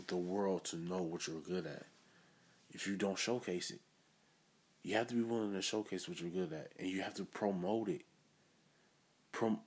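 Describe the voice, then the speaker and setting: A man hosting his own podcast, giving an earnest, self-assured motivational monologue. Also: low voice. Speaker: podcast host.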